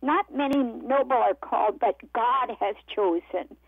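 A woman from the American Midwest, a guest author, telling her life story on a radio program in an earnest, halting way with many fillers, heard over a telephone. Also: a little high, husky, no room sound. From Iowa and Missouri, United States. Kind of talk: personal testimony in a radio interview. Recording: tinny phone line, dry.

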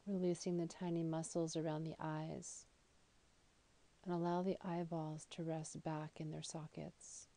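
Woman doing a yoga nidra meditation, mellow creaky voice, soothing and deliberate, soft trailing endings. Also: sits low, little pitch movement. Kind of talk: guided meditation.

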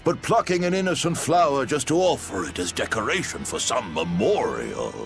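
A man playing a haughty old sorcerer in a video game, speaking in a measured, lecturing manner. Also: lowish voice. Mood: cold disdain. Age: older. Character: haughty old bearded sorcerer, cold and lofty. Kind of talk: dramatic video game acting. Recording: game audio with music behind.

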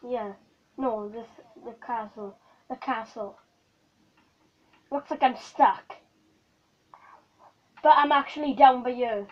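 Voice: medium pitch, takes it slowly, long pauses, highs cut off. An informal, chatty speaker, talking casually in a home video.

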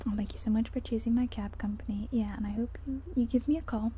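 A woman playing a friendly, chatty taxi driver in soft-spoken ASMR roleplay, breathy and often whispering, gently hesitant as she murmurs along. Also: fairly high pitch, fairly even intonation, quick pace, close to an everyday voice. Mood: warm, grateful. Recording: soft ambient car and rain noise.

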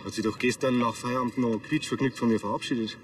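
An actor playing a proud, self-important middle-aged master chimney sweep in a TV drama. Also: male voice, mid-range voice, fairly even intonation, fast, slight background noise, natural voice. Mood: sober, explaining. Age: 40s. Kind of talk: naturalistic TV drama acting.

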